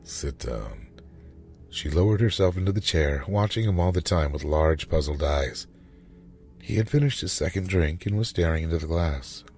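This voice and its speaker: A man narrating a scripted story in a measured, dramatic manner. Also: deep voice, a little mellow, faint music behind.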